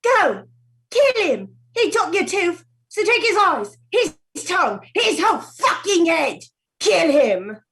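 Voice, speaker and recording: A woman playing a distraught, vengeful woman in a stage play reading, theatrical and drawn-out. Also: very high voice, big pitch swings, fairly bright tone, a little hiss or hum, heavily acted. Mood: vengeful fury.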